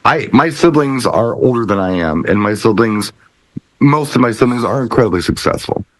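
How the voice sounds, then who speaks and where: A man's voice: medium pitch, lively intonation, moderate pace, no room sound, poor audio. A middle-aged American man in casual panel chat, blunt and slangy, repeating phrases for emphasis. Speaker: DJ and podcast panelist.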